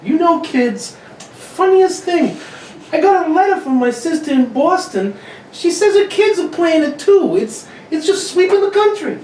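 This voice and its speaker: A woman's voice: very high-pitched, expressive, unhurried, a little mellow. A woman playing a breezy, gossipy housewife and old friend in a radio drama. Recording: roomy, distant mic, faint noise.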